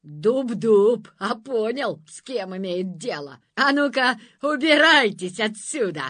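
A woman voicing a boastful, bullying male forest monster who shouts and sings his boasts. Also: on the high side, expressive, takes it slowly, no echo, pitched above the performer's own voice, full character voice. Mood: furious. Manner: shouting, stamping, sing-song boasting.

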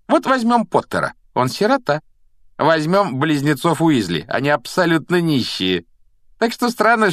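A man performs a spoiled schoolboy bully from a storybook. The voice sits high and swings mockingly, drawling out each taunt with sneering contempt. The recording is close and dry. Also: a bit bright, no room sound.

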